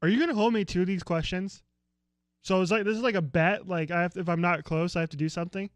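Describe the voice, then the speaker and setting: A man's voice: high-pitched, fast talker, no room sound. An American man co-hosting a casual sports podcast, opinionated and rambling, grumbling dryly about teams he dislikes. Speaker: co-host.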